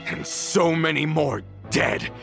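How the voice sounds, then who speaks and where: A bitter, vengeful man in a dramatic game scene. His gravelly voice swings widely in pitch as he accuses, leaning theatrically on each phrase. Music plays underneath. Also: animated, slow, a bit bright, exaggerated voice. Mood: anguished accusation. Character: bitter, vengeful grown man, embittered former mafia member. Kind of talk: dramatic video game voice acting.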